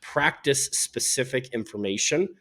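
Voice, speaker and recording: A man with a mid-range voice talks as a guest in a business interview. He explains how his company works in a measured, articulate way and comes across as candid. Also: medium pitch, sharp tone. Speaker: company founder being interviewed.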